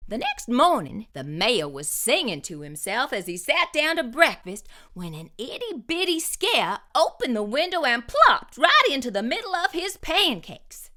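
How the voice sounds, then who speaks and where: A middle-aged woman reading a children's picture book aloud with expressive storytelling. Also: high voice, a little slow, few pauses. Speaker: children's book reader, channel host.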